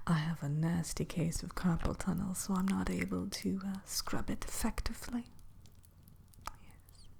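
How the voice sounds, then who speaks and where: A woman playing a haughty, grudging witch innkeeper in a whispered ASMR roleplay, speaking in prim, old-fashioned phrases with hesitant pauses. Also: sits low, creaky, darkish tone, breathy edge, full character voice. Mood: grudgingly accommodating.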